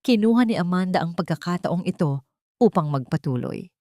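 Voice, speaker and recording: A woman's voice: lowish voice, expressive, a little fast, a little mellow, no room sound, clean studio sound. A woman reading dramatic scripted narration for an audio drama story.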